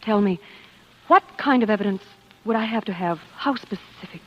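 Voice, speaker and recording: An actress playing an earnest, determined wife in a 1940s radio drama, with a dramatic delivery that is quick to bristle. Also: female voice, medium pitch, animated, natural voice. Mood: guarded. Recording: dull, lo-fi vintage radio recording.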